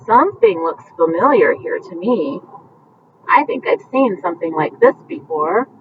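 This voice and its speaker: A woman reading a picture book aloud at bedtime, gently explaining the pictures with casual asides as if to young children. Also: lowish voice, animated, normal speed. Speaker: storybook reader. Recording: muffled, distant mic with faint background noise.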